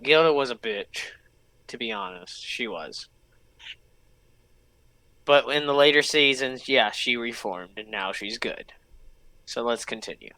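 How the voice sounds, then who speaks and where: A man's voice: mid-range voice, pushed and bright, dry recording. A man hosting a reaction video with casual, blunt, sweary commentary, often repeating himself.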